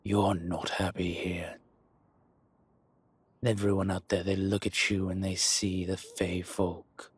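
A very husky, rough-voiced dragon crime lord, acted out by a man, speaks slowly and deep, close and dry. He is suave and arrogant, savoring his words with deliberate pauses in an intimate audio roleplay. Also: flat delivery, no room sound, heavily acted. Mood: persuasive, intimate. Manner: slow, deliberate, pausing, smooth-talking. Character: suave, arrogant male dragon mob boss, older, fond of luxury. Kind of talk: intimate scripted roleplay audio, one-sided conversation.

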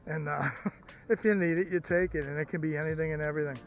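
A man's voice: on the high side, steady tone, fast, dull audio, soft background music. A middle-aged man giving casual, folksy vlog commentary outdoors on a handheld camera, gushing with enthusiasm. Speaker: outdoors and ham radio vlogger. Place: outdoors, by a stream and at a parked car.

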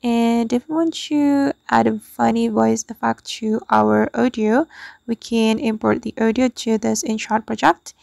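A woman's voice: fairly high pitch, steady tone, unhurried, creaky, a little mellow, dry recording, clean studio sound. A woman hosting a tutorial, narrating an app walkthrough step by step. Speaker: tutorial host.

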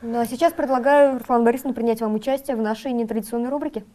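Young female host, slightly high, quick delivery, studio. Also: fairly even intonation, mellow, faint music behind. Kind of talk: TV talk show hosting. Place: TV studio set.

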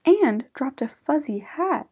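A woman voicing an excitable, curious little bear cub in an eager, expressive storybook delivery. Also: high-pitched, slow, pitched above the performer's own voice, heavily acted. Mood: indignant.

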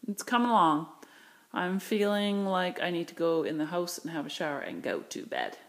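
A woman's voice: fairly low pitch, animated, normal speed. A woman filming with a handheld phone as she walks through her large indoor craft studio, chatting eagerly about her new shelves and storage. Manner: chatty, enthusiastic, rambling with fillers. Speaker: studio owner giving a tour. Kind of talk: casual walkthrough vlog. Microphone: handheld phone camera.

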